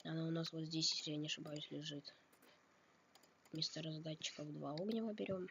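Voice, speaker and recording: A man's voice: on the high side, steady tone, brisk, creaky, sharp tone. A slightly ill man giving a casual game tutorial, thinking aloud and correcting himself, coughing now and then. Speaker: game tutorial video maker. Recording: dull lo-fi mic with noise. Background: keyboard and mouse clicks.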